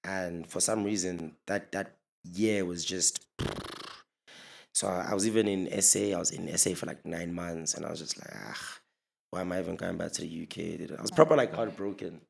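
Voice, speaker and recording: A young man, a podcast guest, telling stories in a relaxed, casual way and laughing often. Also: low voice, normal speed, gravelly, slight background noise. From Zimbabwe. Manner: relaxed, laughs often, mixes in local words. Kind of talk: casual storytelling chat.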